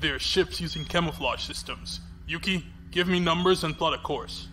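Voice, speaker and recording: Guy playing confident sci-fi fleet commodore, formal n commanding, slightly high male voice, noisy recording, audio drama. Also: normal speed, lightly acted.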